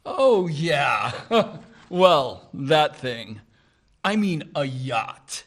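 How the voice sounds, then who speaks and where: An actor playing a smug, patronizing wealthy suitor. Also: male voice, on the high side, big pitch swings, drawn-out words, slightly rough, full character voice. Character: smug condescending rich suitor. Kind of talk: stage-play acting.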